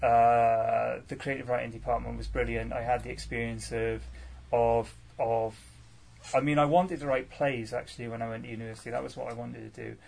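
Man, 30s, poet from Wales, halting live Q&A, self-deprecating, mid pitch. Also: flat delivery, gravelly, darkish tone, poor audio. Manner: hesitant, many fillers and restarts, self-deprecating. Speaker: featured poet answering audience questions. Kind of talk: casual live Q&A at a poetry salon.

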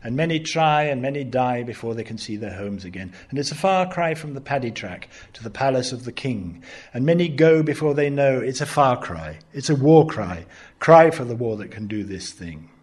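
A man giving a dramatic poetry recitation in a measured, rhythmic way, swelling at times into loud declaiming. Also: medium pitch, lively intonation, husky. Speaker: poet reading his work.

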